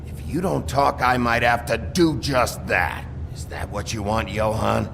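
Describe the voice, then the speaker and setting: An actor playing a gruff, world-weary sheriff in a noir video game, blunt, terse and sardonically threatening. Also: male voice, mid-range voice, a little slow, noisy background. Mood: impatient, menacing.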